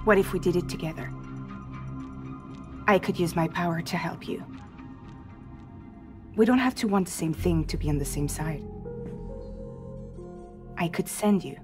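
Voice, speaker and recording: An actress playing a weary, determined woman courier in a video game, speaking in intense, deliberate, dramatic phrases. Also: deep voice, natural voice. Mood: persuasive. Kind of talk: cinematic game acting. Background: music.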